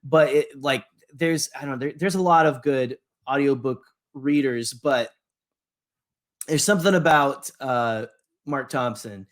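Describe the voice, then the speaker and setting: A man co-hosting a podcast, chatting casually in a rambling, halting way. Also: mid-range voice. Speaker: podcast co-host. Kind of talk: casual chat.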